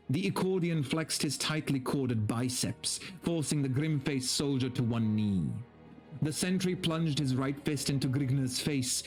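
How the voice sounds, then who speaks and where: A man giving a dramatic, deliberate story reading, dropping into casual wry asides. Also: medium pitch. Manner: theatrical, deliberate, breaks off into casual wry remarks. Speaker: streamer reading aloud.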